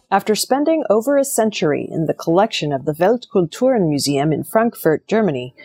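A woman's voice: lowish voice, darkish tone, husky. A woman reading scripted news stories as a news presenter. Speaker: news reader.

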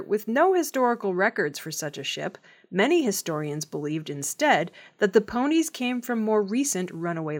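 A woman reads scripted news as a presenter in a measured, formal, clearly enunciated way. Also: mid-range voice.

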